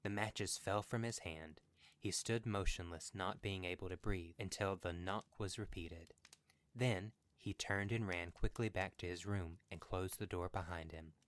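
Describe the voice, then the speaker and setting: A man's voice: sits low, steady tone, unhurried. A man reading a horror story as scripted narration for a podcast.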